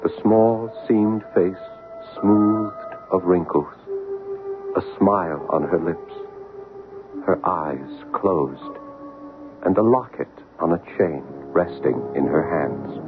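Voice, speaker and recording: An actor playing an earnest, haunted son who tells his own story in a dramatic, measured old-time radio style. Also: sits low. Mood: hushed, somber. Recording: tinny old radio recording with music.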